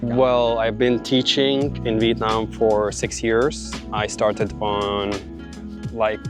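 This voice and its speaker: Thirties man from Egypt, flat and even, music under. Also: lowish voice, slow pace. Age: 30s. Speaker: English teacher interviewee. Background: background music.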